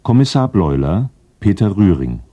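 A man's voice: low-pitched, big pitch swings, moderate pace, warm tone. A man reading formal scripted closing credits as a radio announcer.

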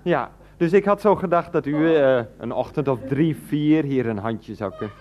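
A man's voice: mid-range voice, normal speed, close to an everyday voice. An actor in a Dutch radio play as a grown son pleading and stammering on the phone to his mother. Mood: coaxing. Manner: stammering, coaxing, often interrupted. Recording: dull, band-limited radio recording.

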